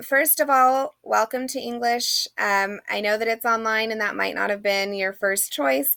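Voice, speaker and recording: A woman teacher records a friendly, rambling lecture while sick, and her voice is fading a little. Her tone has a gravelly, fried texture, with lots of small "um"s between points. The sound is close and dry, with no room around it. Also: medium pitch, sharp tone, no room sound. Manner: friendly, chatty, many "um"s. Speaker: online English instructor. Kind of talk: recorded screen-share lecture.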